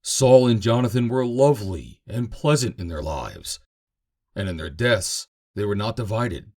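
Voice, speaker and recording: A man's voice: on the low side, expressive, unhurried, warm tone. A man giving a solemn, theatrical, emphatic reading of scripture as a narrator. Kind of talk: dramatic scripted reading of King James Bible.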